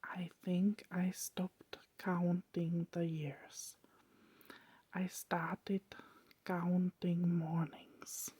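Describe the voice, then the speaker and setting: A woman voicing a weary, centuries-old male vampire, brooding and poetic, in a hushed, halting ASMR roleplay whisper. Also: on the low side, flat delivery, breathy edge, dry recording, full character voice. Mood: reflective, wondering.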